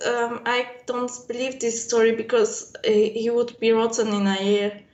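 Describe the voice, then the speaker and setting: A woman's voice: fairly high pitch, flat delivery, moderate pace, darkish tone. A nervous woman who is not a native English speaker, talking as a debate caller in a hesitant, apologetic way with many fillers. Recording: echoey, dull call audio.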